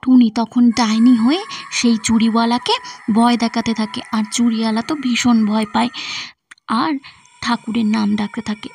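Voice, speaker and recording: High-voiced woman narrating a children's tale. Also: a little mellow, slight background noise. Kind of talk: scripted children's story narration. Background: background music.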